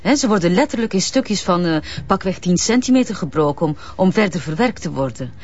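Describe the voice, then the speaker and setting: An actress playing a brisk, self-important businesswoman with a Flemish accent, sprinkling in affected English jargon, in a naturalistic radio drama. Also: lowish voice, animated, a little mellow, husky, dull audio. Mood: businesslike, impatient. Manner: hesitant ehs, affected English business words.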